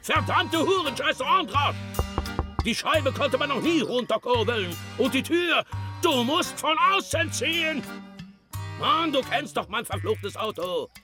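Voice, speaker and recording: An actor in a radio drama playing a loud, cantankerous, hard-drinking pig-farmer uncle who curses and scolds. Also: male voice, very high voice, lively intonation, measured pace. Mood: furious, shouting. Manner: blustering, cursing, scolding. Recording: music behind the voice.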